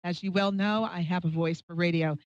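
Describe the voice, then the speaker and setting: A woman's voice: on the low side, steady tone, fairly quick. A woman hosting a live call-in radio show in a chatty, scattered, self-deprecating way. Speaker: radio talk show host, psychic medium. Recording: dull, roomy live stream audio.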